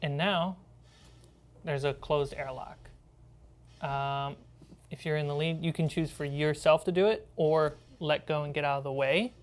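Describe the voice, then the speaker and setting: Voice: mid-range voice, steady tone, measured pace, pauses often. A game master narrating a tabletop role-playing game to the players.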